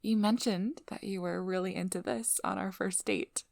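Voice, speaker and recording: Woman as sly female vampire hunter, ASMR roleplay, teasing, controlling, breathy, gravelly fry, close dry sound. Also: medium pitch, steady tone, moderate pace, a little mellow, dry recording, natural voice. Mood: playful, affectionate. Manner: teasing, playful, coolly controlling. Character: devious, vigilant female vampire hunter, flirty then businesslike. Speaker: audio roleplay performer. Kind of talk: scripted ASMR roleplay, addressing the listener.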